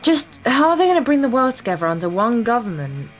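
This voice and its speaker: A woman's voice: a little high, big pitch swings, quick pace, faint music behind, rough recording. A woman reading a visual novel aloud for a gameplay video, in a playful, theatrical way with crude joking asides.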